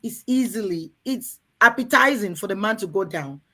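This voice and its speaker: A woman's voice: medium pitch, animated, moderate pace, sharp tone. A woman from Cameroon hosting a frank talk to women in a room, earnest and coaxing, often turning to the ladies in her audience. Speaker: host.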